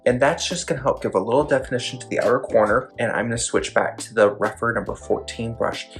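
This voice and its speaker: A man narrating a makeup tutorial, gently explaining each step in detail. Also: fast, soft background music. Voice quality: describes own voice as deep.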